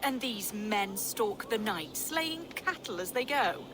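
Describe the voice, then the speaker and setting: A woman's voice: mid-range voice, expressive, takes it slowly, background noise. An actress voicing an earnest, grave Saxon woman in a video game, speaking formally. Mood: grave, insistent. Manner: grave, formal archaic phrasing. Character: earnest Saxon woman wary of wicked beasts. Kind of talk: dramatic video game acting.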